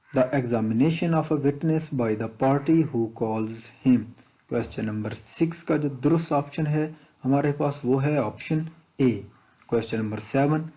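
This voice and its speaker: A man's voice: mid-range voice, dark tone, poor audio. A man with a Pakistani accent giving a methodical, explanatory exam-prep lesson as a teacher. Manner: methodical, explanatory, switches between reading out and explaining. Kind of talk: instructional walkthrough of multiple-choice questions.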